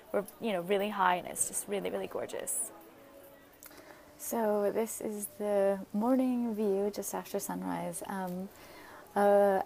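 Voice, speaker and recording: A woman's voice: medium pitch, darkish tone. A woman in her thirties vlogging outdoors while travelling, talking casually into a phone held at arm's length, rambling with lots of ums and likes. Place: outdoors at scenic sites. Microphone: phone at arm's length with earphone mic.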